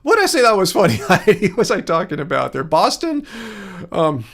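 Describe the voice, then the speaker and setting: A man with a Californian accent and a fairly high voice hosts a livestream. He talks in a loose, easygoing way, drifting between thoughts as he answers comments. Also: huge pitch swings, brisk, creaky, fairly bright tone. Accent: Californian American. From California, United States. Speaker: livestream host. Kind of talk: casual livestream chat, replying to viewer comments.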